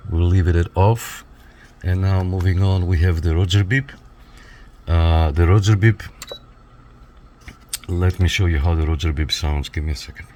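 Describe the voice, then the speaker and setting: Deep voiced guy doing a casual gear demo, step by step, bit of background noise. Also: steady tone, moderate pace, pauses often, mellow. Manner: explains step by step, often checking with "okay". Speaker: radio reviewer, demonstrator. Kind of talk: casual hands-on product walkthrough.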